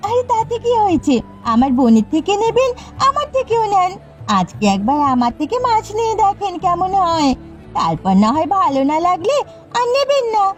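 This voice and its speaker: Cartoon village girl, voiced by a woman: pushy fish seller, very high, swooping, wheedling delivery. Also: big pitch swings, moderate pace. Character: pushy, money-minded younger sister, village fish seller. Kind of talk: Bengali cartoon dialogue. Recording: slightly muffled audio with background music. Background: background music.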